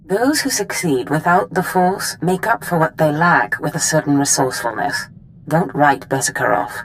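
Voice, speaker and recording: A woman playing a commanding, scheming Sith master in a video game cutscene, speaking with deliberate authority. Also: low voice, slight background noise, low-quality recording. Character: commanding, scheming female Sith master briefing her apprentice.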